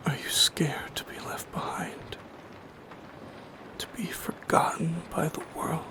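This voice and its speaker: A man voicing a lonely, yearning shadow creature in a soft-spoken ASMR roleplay, whispering in a solemn, halting, poetic way with a breathy, creaky edge. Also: a little high, steady tone. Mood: sorrowful, haunted.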